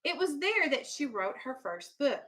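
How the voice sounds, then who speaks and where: A woman's voice: high voice, big pitch swings, moderate pace, bright, forceful tone. A woman teacher reading aloud to young children, explaining simply, asking questions and firmly keeping them on task. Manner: instructive, simple explanations, checks understanding with questions, firm with children. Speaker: elementary school teacher.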